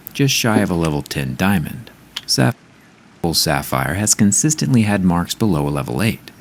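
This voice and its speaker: Deep-voiced man narrating a tech review. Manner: upbeat, explanatory, product-testing tone. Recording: narration with clear background music. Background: background music.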